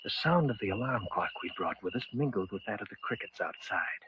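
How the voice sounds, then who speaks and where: An actor playing a level-headed man who narrates an eerie old-time radio drama in a measured, dramatic way. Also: on the low side, expressive, noisy background, natural voice. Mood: suspenseful. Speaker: narrator and lead character. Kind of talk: 1940s-style radio drama narration and dialogue. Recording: dull old radio recording with music.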